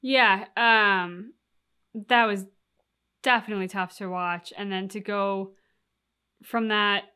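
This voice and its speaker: A woman with a bright, forward voice at medium pitch comments casually as co-host. She reasons aloud in a measured, conversational way. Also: steady tone, clear, resonant tone. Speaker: reaction video co-host.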